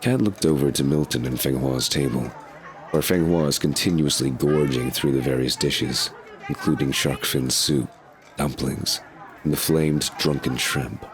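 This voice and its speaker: A man's voice: very deep voice, a little slow, a faint crowd. A man narrating a scripted story as the narrator of an audio drama. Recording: noisy urban ambience behind.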